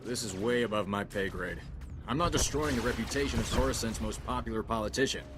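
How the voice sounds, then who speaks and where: An actor playing a gruff, loyal military officer in a video game, plain-spoken and terse. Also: male voice, mid-range voice, quick pace, background noise, natural voice. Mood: troubled, loyal. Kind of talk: dramatic video game acting. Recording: game audio over battle gunfire.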